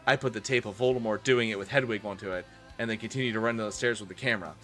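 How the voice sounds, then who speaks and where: Bright-voiced man giving a theatrical reading. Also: on the low side, racing. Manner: theatrical, often breaks off into amused asides. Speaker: streamer doing a dramatic reading. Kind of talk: comic dramatic reading of fan fiction for a live stream. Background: music.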